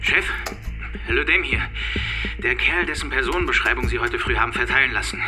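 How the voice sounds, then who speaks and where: A man's voice: medium pitch, fairly quick, close to an everyday voice. Actor as eager policeman, music behind. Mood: brisk, urgent. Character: eager male police subordinate reporting to chief. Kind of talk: radio drama acting.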